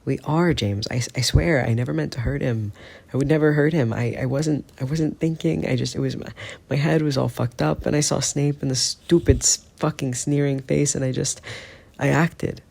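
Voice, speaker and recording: A man's voice: fairly low pitch, normal speed, gravelly, a little mellow, breathy edge. A man doing a defiant, guilty teenage boy. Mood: remorseful. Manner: defensive, stammering, breathless.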